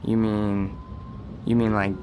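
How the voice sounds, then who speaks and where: An actor playing a gentle, puzzled young man in a radio drama, speaking earnestly with a hesitant stammer. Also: lowish voice, level tone, takes it slowly, background noise. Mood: earnest, probing. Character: gentle, puzzled man coaxing a frightened woman.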